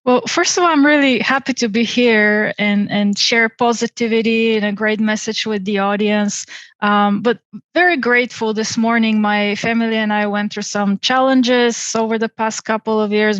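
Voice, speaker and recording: A woman from Bulgaria speaking as a guest on an interview podcast, measured and polite. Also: medium pitch, steady tone, no echo. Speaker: podcast guest, real estate investor. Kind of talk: interview answers. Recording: dry, dull lo-fi remote audio.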